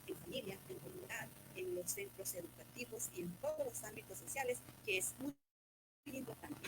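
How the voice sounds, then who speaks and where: Woman, lively intonation, earnest formal tone, noisy video recording. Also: medium pitch. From Guatemala. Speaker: teacher.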